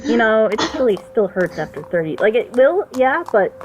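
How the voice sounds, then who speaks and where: Lively woman chatting over faint music. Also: a little high, normal speed, low-quality recording. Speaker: reaction show panelist; animator. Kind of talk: casual group reaction commentary.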